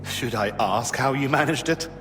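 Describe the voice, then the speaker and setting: A man's voice: medium pitch, moderate pace, close to an everyday voice. An actor playing an easygoing male helper in a monastery infirmary, speaking casually and dryly in a video game. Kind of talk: video game cutscene acting. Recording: reverberant, clear music behind.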